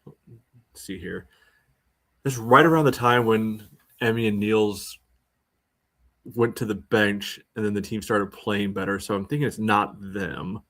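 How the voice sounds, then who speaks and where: A man with a slightly low voice chats as co-host of a sports podcast. He is conversational and wry, working through his thoughts aloud as he goes. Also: steady tone, a little slow, pauses often. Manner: thinks out loud, wry. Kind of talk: casual podcast chat about soccer.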